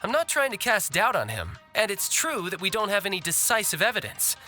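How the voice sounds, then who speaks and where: A man's voice: high-pitched, huge pitch swings, fairly quick, pushed and bright, exaggerated voice. A young man voicing a shrewd, cocky fighter in a video game, with a glib, teasing manner. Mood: breezy. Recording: music behind the voice.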